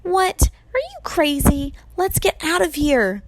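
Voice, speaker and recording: Woman as a high, flustered young hero boy. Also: very animated, takes it slowly, no room sound, full character voice. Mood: startled, protesting. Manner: flustered, protesting.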